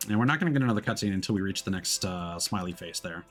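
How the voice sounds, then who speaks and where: A man's voice: low voice, expressive, quick pace. A man chatting casually to viewers on a livestream in an easygoing, rambling way. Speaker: VTuber livestreamer. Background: music.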